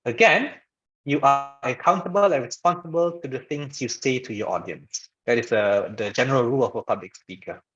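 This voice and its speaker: A man's voice: medium pitch, fairly quick, fairly bright tone. A man from Malaysia with a Malaysian English accent, a guest speaker answering questions in a webinar and often checking in with "okay" and "right". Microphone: video call. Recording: muffled lo-fi video call audio.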